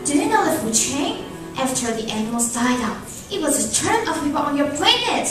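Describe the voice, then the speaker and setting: A woman's voice: sits high, sing-song, brisk. A woman playing a cool female agent who hunts aliens in an amateur radio drama. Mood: matter-of-fact. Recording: echoey hall, distant, music behind.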